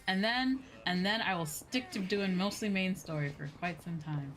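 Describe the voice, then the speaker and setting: A woman's voice: medium pitch, normal speed, fairly bright tone. A woman chatting casually and expressively as she commentates a game livestream. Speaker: streamer. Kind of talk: casual game livestream commentary, reading on-screen dialogue aloud. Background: game music.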